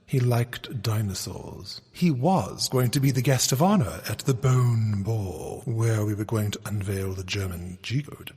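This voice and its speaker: Husky, pompous curator in a hall. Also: male voice, fairly low pitch, measured pace, hardly any pauses, darkish tone. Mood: boastful. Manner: pompous, boastful. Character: pompous, self-important bigoted museum curator, a man. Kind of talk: comedic audio drama acting. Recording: reverberant hall.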